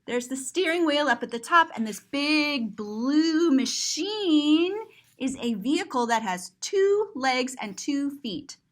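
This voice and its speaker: Female children's storyteller, high voice, very slow delivery, sing-song and expressive, stretching key words for young listeners. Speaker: children's story reader and teacher. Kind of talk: animated read-aloud picture book storytelling for children.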